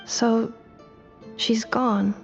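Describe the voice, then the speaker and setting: A young dragon in a video game, unsure of who she is. Her voice is fairly high and slow, questioning and soft, in slightly muffled audio with music behind. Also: level tone, vocal fry, heavily acted. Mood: quietly shaken. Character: troubled, searching young female dragon learning her own past. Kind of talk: scripted video game drama.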